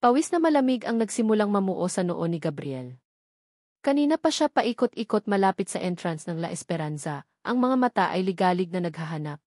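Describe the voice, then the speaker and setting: A woman narrates a dramatic story in a scripted storytelling style. Her voice sits at a middle pitch, and the sound is dry, with hardly any room in it. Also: big pitch swings, fairly quick, darkish tone, dry recording.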